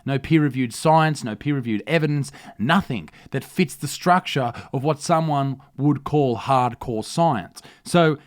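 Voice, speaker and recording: A man's voice: medium pitch, unhurried, few pauses. An Australian man hosting a comedic news rant, blunt, mocking and full of swearing. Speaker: comedy news commentary host. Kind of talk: comedic rant to camera, mock news delivery.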